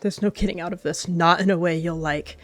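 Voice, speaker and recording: Woman doing a possessive evil she-cat, low voice, menacing, pleading, intense, faint music behind. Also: steady tone, brisk, fairly bright tone, no room sound, lightly acted. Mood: fierce. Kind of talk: voice-acted roleplay dialogue.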